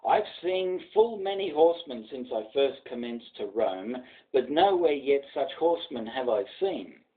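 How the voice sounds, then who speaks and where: Earnest Australian horseman, read by a man, lively pitch. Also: unhurried, far from the mic, narrow, tinny audio. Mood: earnest, admiring. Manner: earnest, persuasive. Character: loyal seasoned horseman Clancy, vouching earnestly. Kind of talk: poem recitation.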